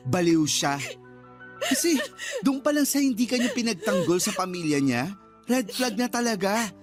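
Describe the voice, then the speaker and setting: A woman's voice: medium pitch, very animated, normal speed, natural voice. An actress playing a sassy, fiercely protective young woman in a radio drama, slangy and emphatic. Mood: indignant, sassy. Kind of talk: Tagalog radio drama acting. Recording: music bed under voice.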